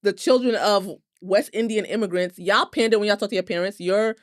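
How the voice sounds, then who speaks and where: A woman's voice: mid-range voice, a little fast, dry recording. An American woman talks through her opinions on a podcast. Her delivery is emphatic and explanatory, full of casual slang and swearing. The sound is dry, with very little room to it. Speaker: podcast panelist.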